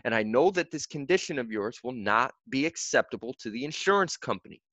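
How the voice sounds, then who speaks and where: A man voicing a middle-aged male soccer coach who lays down the rules in a firm, measured way. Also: mid-range voice, a bit bright, no echo, lightly acted. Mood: apologetic. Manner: firm, measured, rule-bound. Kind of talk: audiobook character voice.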